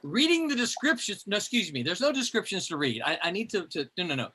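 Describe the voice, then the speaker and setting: A man's voice: high-pitched, sing-song, fast, pushed and bright, husky. A man hosting a group reading on a video call, explaining the rules in a chatty, rambling way. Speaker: host.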